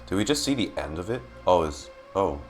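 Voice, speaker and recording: Low-voiced animated man, streamer. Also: a little fast, faint music behind. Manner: excitable, repeats words. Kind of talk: casual game reaction commentary.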